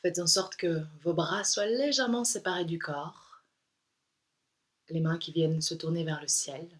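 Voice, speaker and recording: A woman yoga instructor leading a guided meditation in a calm, soothing, unhurried way with pauses. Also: lowish voice.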